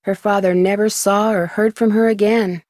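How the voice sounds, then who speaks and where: A woman's voice: medium pitch, fairly even intonation, normal speed, a little mellow, no echo, clean studio sound, natural voice. A woman playing an earnest young female detective in a video game, matter-of-fact and articulate. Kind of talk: scripted video game dialogue.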